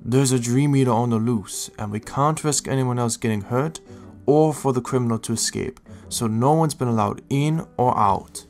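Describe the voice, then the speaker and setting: Casting: man as watchful, polite creature guard for audio drama, creaky voice, firm delivery. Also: mid-range voice, normal speed, darkish tone, faint music behind, natural voice. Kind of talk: audio drama character acting.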